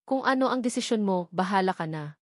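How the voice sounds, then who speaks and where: A woman's voice: medium pitch, lively intonation, a little fast, dry recording, natural voice. Female narrator as intense Filipino male romance lead, possessive, serious, wounded, dry audiobook sound. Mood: bitter. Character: serious, possessive, wounded billionaire man in love.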